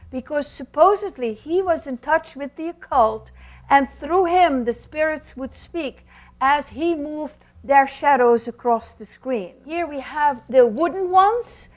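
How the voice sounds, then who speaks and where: High-voiced woman, theatrical storyteller. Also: slow, darkish tone. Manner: theatrical, emphatic, with rhetorical questions. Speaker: shadow puppeteer presenter. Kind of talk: explanatory storytelling talk to an audience.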